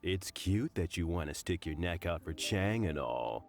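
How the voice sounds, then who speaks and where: A man playing a mocking, loudmouthed thug in a video game, drawling with gravelly sarcasm. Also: low voice, expressive, measured pace. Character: sneering, loudmouthed male thug errand boy, mocking bully.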